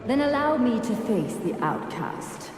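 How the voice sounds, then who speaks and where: An actress playing a proud, haughty rival witch in a video game cutscene, with a mocking, deliberate delivery. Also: fairly high pitch, sing-song, music in the background. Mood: challenging.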